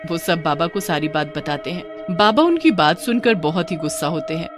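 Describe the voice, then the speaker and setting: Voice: medium pitch, lively intonation, normal speed. A storyteller giving scripted narration of a cartoon horror tale. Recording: music behind the narration.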